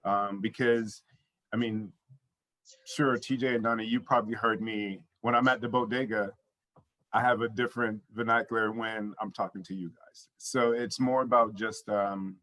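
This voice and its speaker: A man's voice: on the low side, fairly even intonation, moderate pace, a bit bright, poor audio. A man speaking casually as a panel guest, with lots of fillers and loose phrasing. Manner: loose, many fillers like um and you know.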